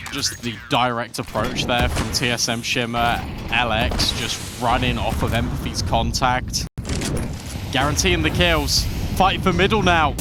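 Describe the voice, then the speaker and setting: A man doing live play-by-play commentary on an esports match, excitable and quick to build with the action. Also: fairly high pitch, heavy background noise. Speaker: esports caster.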